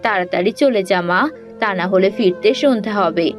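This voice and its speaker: A woman voicing a gentle, weary village mother in a cartoon, speaking in a caring, resigned way. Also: medium pitch, a little fast, music in the background, natural voice. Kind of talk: Bengali animated fairy-tale voice acting.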